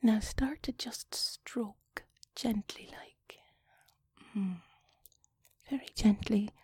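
Woman playing chatty, awkward Irish wife for intimate audio drama. Breathy, whispery, coaxing delivery, very dry recording. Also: medium pitch, darkish tone, dry recording, exaggerated voice. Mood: soft, coaxing. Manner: halting, self-conscious, coaxing, drifting into breathy whispers. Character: flustered, chatty Irish wife talking intimately to her partner. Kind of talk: intimate erotic audio roleplay.

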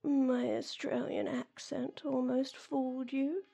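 A woman performing a scripted audio roleplay in a whispery, breathy, teasing way. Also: high voice, level tone, slow, dark tone.

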